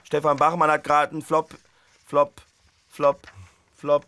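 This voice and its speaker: Male, high bright voice, impatient nagging studio colleague, insistent and repetitive. Radio play. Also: completely flat delivery, measured pace, no echo. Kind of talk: naturalistic chaotic radio play.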